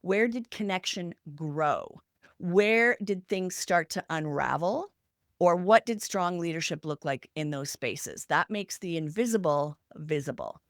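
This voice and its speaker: A woman's voice: lowish voice, expressive, a little slow, dry recording, studio quality. A woman hosting a podcast, talking through practical examples in an earnest, instructional way. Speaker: podcast host. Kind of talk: structured explanatory talk to listeners.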